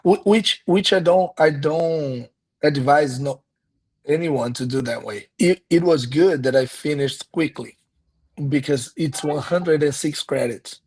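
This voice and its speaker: A man's voice: on the high side, measured pace, a little mellow, husky. A Brazilian man in his thirties with a Brazilian accent, Portuguese his first language, answering interview questions as a guest pastor, earnest and reflective, often restarting his sentences. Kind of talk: conversational interview.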